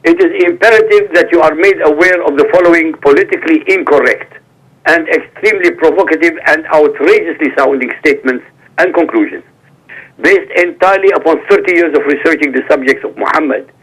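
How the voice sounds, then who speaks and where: A man's voice: on the high side, a little fast, fairly bright tone. A man whose first language is Arabic, a guest on a talk show who reads a prepared statement in a formal, emphatic way over a phone line. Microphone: phone call.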